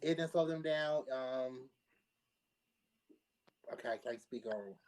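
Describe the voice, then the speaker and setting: Mid-pitched man explaining his opinion. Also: slow pace, darkish tone, poor audio. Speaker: panelist on a pop culture discussion show. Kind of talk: casual opinion discussion.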